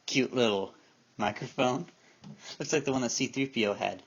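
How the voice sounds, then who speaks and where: A man's voice: mid-range voice, moderate pace. A man giving a casual, unscripted show-and-tell, musing aloud to himself as he handles gear, recorded indoors on a handheld camera's microphone. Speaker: vlogger showing a collection of tape recorders. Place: indoor room at home. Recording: dull, noisy handheld camera sound with clicks.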